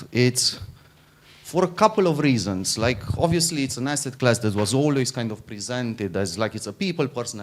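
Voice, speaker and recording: Man giving a lively keynote. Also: mid-range voice. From Romania. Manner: restarts and repeats words, animated stretches. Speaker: conference speaker, fund founder. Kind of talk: informal keynote talk.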